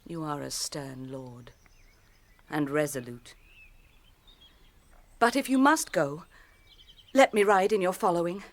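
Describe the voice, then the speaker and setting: An actress playing a proud, fierce young noble shieldmaiden in a radio drama, impassioned and formal. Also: female voice, deep voice, slow, long pauses. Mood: proud, defiant. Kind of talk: classic radio drama acting.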